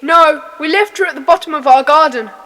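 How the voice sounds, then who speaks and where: A woman playing a lively, cheeky young child in a children's audio drama. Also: high voice, a little fast. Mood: eager. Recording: long hall-like reverb on voice.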